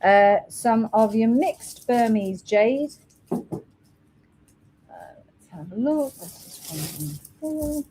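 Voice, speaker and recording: Chatty woman with a lively high voice. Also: slow, pauses often, a little hiss or hum, rough recording. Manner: chatty, reads out product codes and prices, thanks helpers. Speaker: live-stream gemstone bead seller. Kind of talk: casual live sales chat.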